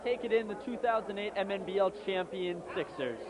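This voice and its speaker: A man with a very high voice gives steady color commentary on the championship game. He agrees with his partner and makes his points evenly. Clear background noise runs under the recording. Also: fairly quick. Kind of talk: amateur league courtside commentary. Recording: noisy outdoor recording.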